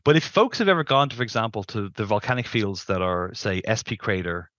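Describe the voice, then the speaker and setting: A man's voice: on the low side, lively intonation, fairly quick, a bit bright, no room sound, highs cut off. A middle-aged man, a scientist being interviewed, explains things informally and emphatically, rephrasing for precision on a headset mic. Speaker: planetary scientist, interview guest. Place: indoors on a video call.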